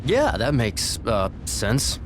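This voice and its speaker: A man's voice: mid-range voice, wildly swooping pitch, takes it slowly, noisy background. An actor playing a nervous, defensive young male DJ in a video game, flustered and stammering. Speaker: DJ gang member.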